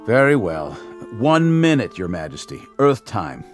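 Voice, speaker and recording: A man's voice: mid-range voice, big pitch swings, unhurried, soft background music. An actor playing a nervous, officious alien escort to a king, prim and formal in a radio drama.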